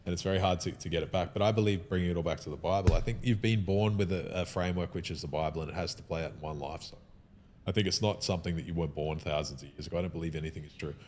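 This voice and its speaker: A man's voice: sits low, rapid-fire, darkish tone, a little hiss or hum. A man talking in a casual podcast conversation, opinionated and emphatic, often breaking off and restarting his sentences.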